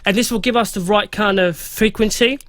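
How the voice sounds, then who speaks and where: A man, slightly hoarse, casually talking through a music mixing tutorial and reading out settings with loose fillers. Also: high voice, fairly even intonation, moderate pace, a bit bright, no echo. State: slightly hoarse, losing his voice. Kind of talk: casual screen-recorded software tutorial.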